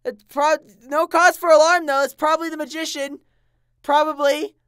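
A performer voicing an excitable, easily exasperated female party pony in a parody cartoon, emphatic and flustered. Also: high voice, moderate pace, no echo, studio quality.

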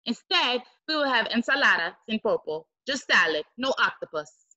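A woman's voice: a little high, sing-song, normal speed, bright, forceful tone, lo-fi sound, full character voice. A woman voicing a protective, bossy Spanish-speaking grandmother from a picture book, exclaiming and commanding. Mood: fed up, firm. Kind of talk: picture book read-aloud.

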